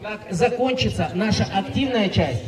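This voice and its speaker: A man's voice: high-pitched, expressive, moderate pace. A man hosting an event, addressing a crowd in an upbeat announcer's manner. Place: outdoor team-building event at a resort. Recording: echoey, distant mic, crowd noise.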